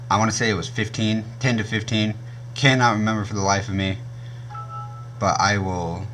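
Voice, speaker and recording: A young man with a naturally deep voice, casually reviewing gear on his channel and often stopping to correct himself. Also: background noise. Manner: rambling, self-correcting, frequent um and uh.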